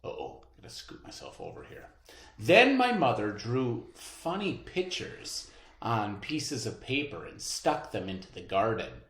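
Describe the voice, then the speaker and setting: An expressive co-reader takes a children's story slowly and with emphasis. The pitch moves up and down a great deal, and chatty comments break into the reading. The room adds noticeable echo. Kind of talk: read-aloud of a children's picture book with side comments.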